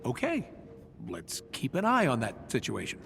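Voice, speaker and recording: An actor playing a cocky, wisecracking superhero inventor in a video game, with an American accent and a glib, casual delivery. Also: male voice, medium pitch, huge pitch swings, moderate pace, vocal fry, a bit bright, slight background noise, heavily acted. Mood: businesslike, wry.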